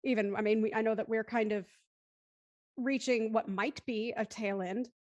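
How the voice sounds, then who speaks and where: Female moderator, fairly high voice, dry sound, conversational and reflective. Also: dry recording. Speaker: panel host, moderator. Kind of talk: casual panel discussion.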